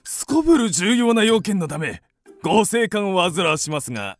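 An actor playing a pompous, short-tempered landowner in a radio drama, formal yet explosive. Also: male voice, high voice, sing-song, normal speed, soft background music. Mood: stiffly formal. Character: blustering, short-tempered male landowner and creditor, scornful of women.

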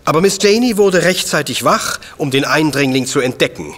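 An actor playing a shrewd, unflappable, pedantic professor detective in an audio drama, with precise, long-winded formal diction. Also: male voice, fairly high pitch, expressive, normal speed, fairly bright tone. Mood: matter-of-fact. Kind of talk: German audio drama acting.

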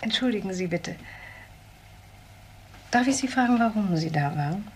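A woman's voice: medium pitch, lively intonation, moderate pace, a little hiss or hum, natural voice. An actress playing a kindly, forthright middle-aged married woman in a crime radio drama, courteous and direct. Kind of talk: naturalistic German crime radio drama acting.

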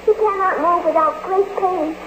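A woman's voice: extremely high pitch, steady tone, normal speed, roomy sound. A woman voicing an innocent young jungle woman in love, speaking earnestly and formally in 1940s radio acting. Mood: pleading. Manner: earnest, formal, wide-eyed. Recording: dull hissy old radio recording.

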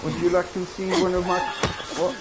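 A man's voice: high-pitched, level tone, a little fast, very noisy, natural voice. A man voicing a friendly male slasher in a mock French-Canadian accent, tagging every phrase with "huh?" or "eh?" during in-character game chat. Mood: playfully friendly. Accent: mock French-Canadian, put on. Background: loud game music.

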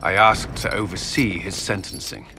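An actor playing a grave, stern military officer in a fantasy game, speaking formally and with control. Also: male voice, low voice, fairly even intonation, moderate pace, heavy background noise. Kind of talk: dramatic fantasy game dialogue.

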